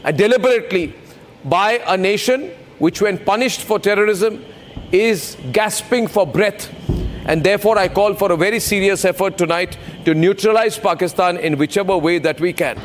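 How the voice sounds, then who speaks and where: High male voice, Indian English accent, strident anchor, emphatic repetition, breaking news, background noise. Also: moderate pace. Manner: strident, declamatory, repeats phrases for emphasis. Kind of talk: impassioned live breaking news commentary.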